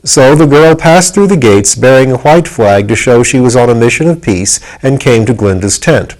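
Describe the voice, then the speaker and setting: A man's voice: mid-range voice, animated, moderate pace, darkish tone, poor audio. A man reading aloud as the narrator of a storybook, in scripted narration. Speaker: narrator, audiobook reader.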